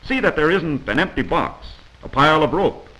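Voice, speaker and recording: A man's voice: on the high side, expressive, normal speed, bright, forceful tone, poor audio. An American man narrating a scripted 1940s instructional film in a didactic, admonishing manner, heard on an old film soundtrack.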